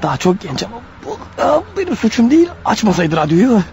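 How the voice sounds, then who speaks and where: A man's voice: sits high, very animated, quick pace, crowd noise. An actor playing a desperate, wounded killer on the run in a radio drama, agitated, paranoid and muttering to himself as his strength fails. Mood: tense, irritated. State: weak, bleeding, fighting off sleep. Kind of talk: radio drama acting with inner monologue. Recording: dull radio recording with faint noise.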